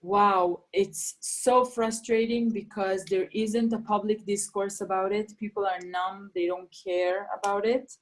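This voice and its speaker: A woman's voice: medium pitch, a little slow, hardly any pauses, poor audio. Young woman comedian, Farsi native, casual chatty guest, lots of ums and restarts. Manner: animated, many fillers and restarts. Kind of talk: casual remote interview.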